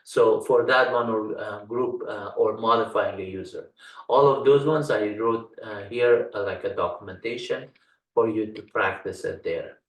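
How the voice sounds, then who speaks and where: Older man, low and roomy. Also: fairly even intonation. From Afghanistan. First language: Dari. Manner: halting, frequent "uh" fillers, folksy. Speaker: computer science instructor. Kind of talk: screen-share software tutorial walkthrough. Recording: roomy sound, distant from mic.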